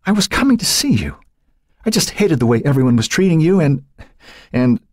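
Man voicing a breathy, pleading, frightened teenage girl. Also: medium pitch, big pitch swings, darkish tone, no room sound, clean studio sound, voice pushed up. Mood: tearful relief. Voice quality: breathy, choked. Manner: halting, pleading. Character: injured, terrified teenage girl, pleading and tearful. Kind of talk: audiobook character acting.

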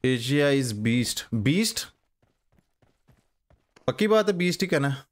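A man's voice: mid-range voice, sing-song, takes it slowly. A man, live-streaming a mobile game from a small room and bantering with viewers in chat. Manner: switches between Urdu and English, bantering with chat. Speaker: gaming streamer. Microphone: headset.

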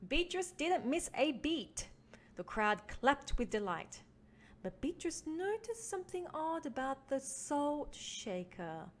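A woman reading a children's picture book aloud as a teaching artist, with expressive emphasis for young listeners. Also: high voice, slow pace. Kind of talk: animated children's storybook read-along.

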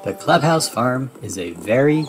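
A man reading a children's storybook aloud in expressive narration. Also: medium pitch, unhurried, music in the background. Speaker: storybook reader.

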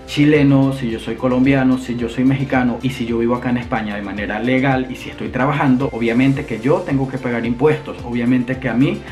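A young man with a Venezuelan accent talks straight to the camera in an earnest, explaining way, moving his hands as he speaks. His voice sits at an ordinary middle pitch. Faint music plays behind him in a room at home. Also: fairly even intonation, a little fast, few pauses, darkish tone, muffled recording. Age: young adult. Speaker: vlogger.

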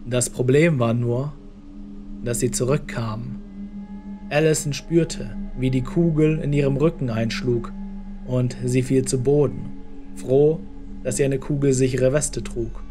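Male narrator, medium pitch, scripted audiobook-style storytelling. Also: steady tone, measured pace, over music.

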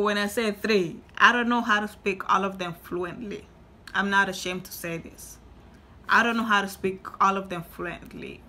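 Young woman, bright tone, lively casual vlogger chat into a webcam. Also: medium pitch. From Tanzania. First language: Swahili. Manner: chatty, animated, repeats herself for emphasis. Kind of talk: casual talk to camera. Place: small indoor room.

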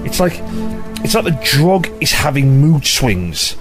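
A man playing a gruff, impatient detective chief inspector in an audio drama, blunt and sardonic. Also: fairly high pitch, big pitch swings, a little slow, over music. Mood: explaining.

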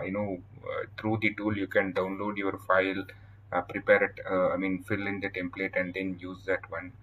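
Indian guy doing a slide tutorial voiceover, lowish voice, bit halting, some background noise. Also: fairly bright tone, poor audio. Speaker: software tutorial presenter. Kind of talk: informal scripted-outline tutorial narration over slides.

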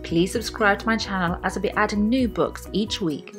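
A young woman reading a children's storybook aloud in an expressive style, with deliberate, emphatic phrasing. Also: medium pitch, over music. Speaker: children's book reader.

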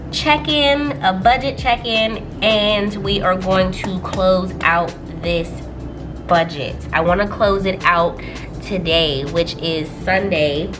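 A woman with a stuffy, just-over-a-cold voice talks slowly and loosely through her budget book. She pitches her voice a little low, laughs now and then and tosses in a lot of y'all. Music plays clearly behind her. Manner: chatty, laughs often, drawls y'all. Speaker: budgeting vlogger. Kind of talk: casual chat while working in a planner. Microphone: camera overhead on a desk.

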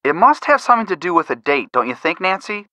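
A man's voice: sits high, big pitch swings, fast talker, bright, forceful tone, dry recording, exaggerated voice. An actor playing a level-headed, drily witty teenage boy sleuth in scripted video game dialogue. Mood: thoughtful.

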